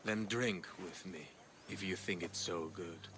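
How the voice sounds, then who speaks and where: A man's voice: deep voice, unhurried, background noise. A man playing a menacing, distrustful gang boss in a video game, curt and suspicious. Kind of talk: video game cutscene acting.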